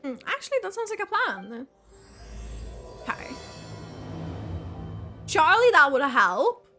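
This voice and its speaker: A woman giving casual, expressive reaction commentary as she plays through a video game. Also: high-pitched, takes it slowly, faint music behind. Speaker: gaming streamer reacting to a playthrough.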